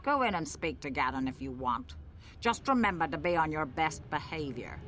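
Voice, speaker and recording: A woman's voice: low-pitched, expressive, moderate pace, fairly bright tone, slight background noise. A woman playing a watchful, stern gang sentry warning visitors in a video game.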